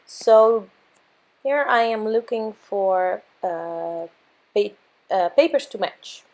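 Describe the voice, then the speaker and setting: A young woman with a hoarse, worn-out voice, casually narrating her craft process as a channel host, with frequent fillers and self-corrections. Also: medium pitch, slow pace, a little mellow.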